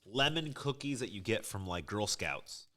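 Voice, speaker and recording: A man's voice: fairly low pitch, lively intonation, moderate pace, fairly bright tone. A man co-hosting a podcast, casually and playfully giving his reactions to snacks. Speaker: podcast co-host. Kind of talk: casual chat reviewing snacks.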